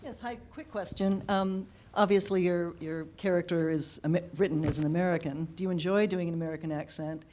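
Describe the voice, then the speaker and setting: A woman's voice: on the low side, steady tone, a little fast, few pauses, darkish tone. Hesitant woman asking a question. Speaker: audience member asking a question.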